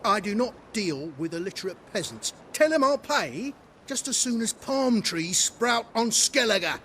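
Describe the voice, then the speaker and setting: An actor playing a haughty, pompous gentleman with a snobbish manner in a video game. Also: sits high, sing-song, unhurried, slight background noise, heavily acted. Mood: haughty, dismissive. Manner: pompous, clipped disdain. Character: haughty, snobbish male debtor, wealthy and easily flustered.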